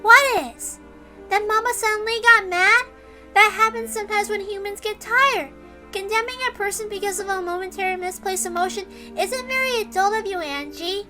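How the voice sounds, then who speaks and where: A woman voicing a serene, earnest nine-year-old girl who lectures gently and stays unshakably optimistic, in a dramatic story reading. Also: very high-pitched, faint music behind, voice pushed up. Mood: calm, puzzled. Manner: measured, reasoning, gently lecturing. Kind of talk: dramatic reading of a visual novel.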